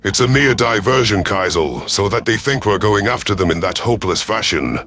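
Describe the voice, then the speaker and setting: A man's voice: lowish voice, animated, normal speed, a bit bright. A man voicing a battle-hardened alien predator commander who gives formal, authoritative orders in a sci-fi audio story. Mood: sly, explaining. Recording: music behind the voice.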